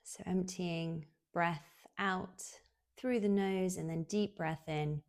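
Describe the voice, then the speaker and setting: A woman guiding a relaxation meditation in a soothing, unhurried way, leaving long pauses, with soft, breathy phrase endings. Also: fairly low pitch, fairly even intonation. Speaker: yoga nidra guide.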